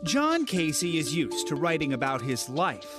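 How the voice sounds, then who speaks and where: A man narrating a news feature as correspondent, measured and serious. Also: on the high side, sing-song, music in the background.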